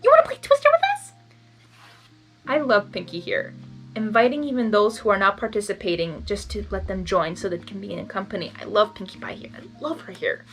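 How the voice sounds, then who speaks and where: A woman's voice: medium pitch, brisk, fairly bright tone, faint music behind, low-quality recording. A woman doing a blind reading of a fan story, stumbling on words and adding gushing asides. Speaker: reader.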